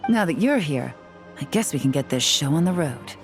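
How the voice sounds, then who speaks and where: Cool, low-voiced female operative. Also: music in the background. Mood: assured. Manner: calm, confident, deliberate. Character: cool, assured adult female operative, shrewd and calm. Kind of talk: video game voice acting.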